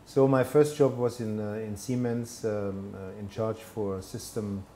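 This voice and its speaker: A man's voice: on the low side, fairly even intonation, unhurried, mellow, slight background noise. A man, speaking as a guest in a studio interview, hesitant and self-deprecating with many fillers and restarts. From Germany. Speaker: guest, telecom executive. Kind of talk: conversational interview. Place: studio set with brick wall, indoors.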